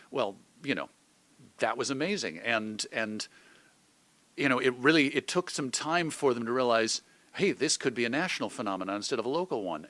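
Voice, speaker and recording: A man's voice: medium pitch, fairly bright tone, dry recording. An American man giving thoughtful, articulate answers as an interview guest, often reaching for examples. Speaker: interview guest, old-time radio host and magazine editor.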